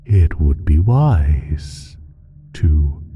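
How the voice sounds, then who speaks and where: Ancient malevolent creature for audio drama: extremely low, very slow, sly and taunting, drawing out every phrase. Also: male voice, very animated, mellow, lo-fi sound, slight background noise, exaggerated voice. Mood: menacing, patronizing. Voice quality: very deep, husky, breathy, dropping into whisper. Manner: drawn-out, halting, teasing delivery with low chuckles. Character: ancient evil male creature, sly, taunting, sinister pact-maker. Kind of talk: slow, theatrical audio roleplay monologue addressed to listener.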